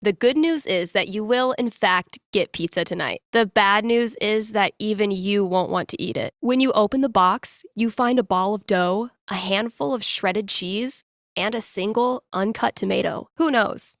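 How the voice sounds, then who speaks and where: A woman's voice: mid-range voice, moderate pace, dry recording, studio quality, natural voice. A woman playing a laid-back, playful young radio DJ in a video game, with wry, slangy, mock-dramatic call-in patter. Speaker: call-in radio show host.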